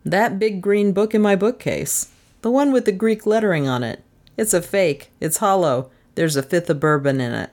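Laconic man voiced by a woman, lowish voice, terse, absent, unbothered, crime audiobook dialogue. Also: moderate pace, a little mellow, close to an everyday voice. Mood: matter-of-fact. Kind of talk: storybook character voice in crime fiction.

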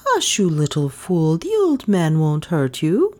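A woman's voice: low-pitched, wildly swooping pitch, unhurried, darkish tone, exaggerated voice. A woman voicing a plain-spoken, wistful young mother from a village in a storybook. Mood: scolding, soothing.

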